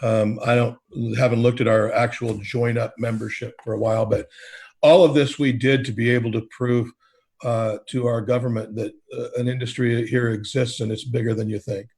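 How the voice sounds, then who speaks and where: A man's voice: mid-range voice, little pitch movement, moderate pace, darkish tone, breathy edge. A man, a state coalition leader from Oregon, talking steadily with many fillers on a panel call. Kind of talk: panel discussion on a video call. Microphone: video call.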